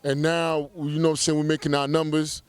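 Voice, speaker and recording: Middle-aged man, clear resonant voice, casual promotional talk, emphatic check-ins, dry close sound. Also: fairly high pitch, completely flat delivery, fairly quick, dry recording. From Haiti, raised in Brooklyn, New York. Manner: conversational, emphatic, repeats check-in tags. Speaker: documentary filmmaker.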